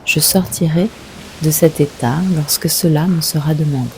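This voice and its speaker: A woman leading a guided yoga nidra meditation, giving gentle, unhurried instructions with long pauses. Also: low-pitched, a little hiss or hum, poor audio.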